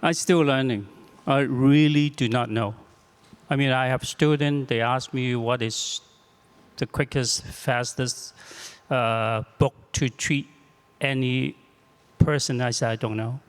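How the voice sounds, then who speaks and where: A man in his sixties with a Chinese accent, a clinician on a panel, speaking in a halting, humble and reflective way, often repeating words. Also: medium pitch, steady tone, clean tone, long reverb tail. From China. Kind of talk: panel discussion.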